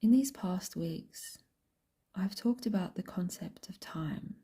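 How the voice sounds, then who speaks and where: A woman guiding a meditation in a soft, breathy whisper, speaking gently and unhurriedly with long pauses. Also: lowish voice. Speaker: meditation guide and host. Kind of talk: slow guided meditation, soft whispered speech.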